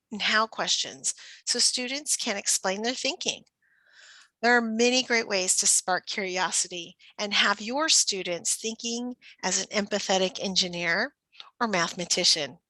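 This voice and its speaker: A woman's voice: medium pitch, sharp tone, no room sound, poor audio. A woman giving an instructional presentation for educators, often posing questions as if to young children. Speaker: teacher trainer presenting a workshop.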